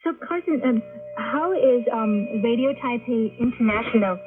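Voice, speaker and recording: A woman's voice: fairly high pitch, lively intonation, moderate pace. A woman working as a radio host, announcing and chatting with a co-host. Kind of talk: radio announcing and co-hosted chat. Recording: tinny shortwave radio recording with music.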